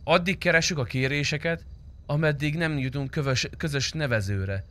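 A man's voice: a little high, sharp tone. A young man streaming an online roleplay game, negotiating in casual, slangy, insistent talk with some swearing, on a close microphone. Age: young adult. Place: indoor streaming room.

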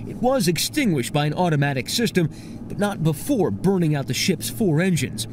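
A man's voice: on the high side, big pitch swings, moderate pace, background noise. A man reporting a news story as correspondent, with a dramatic, emphatic scripted delivery.

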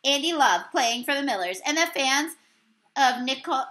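A woman's voice: high-pitched, normal speed. A young woman reading a picture book aloud expressively, as a teacher would, recorded on a computer mic in a room. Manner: animated storyteller with exclaimed dialogue. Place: small indoor room.

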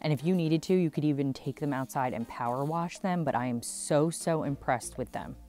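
A woman's voice: deep voice, normal speed, warm tone, soft background music. A woman in her thirties narrating a cleaning vlog in a casual, chatty, enthusiastic way, often saying "like.". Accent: Texan. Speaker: cleaning vlogger.

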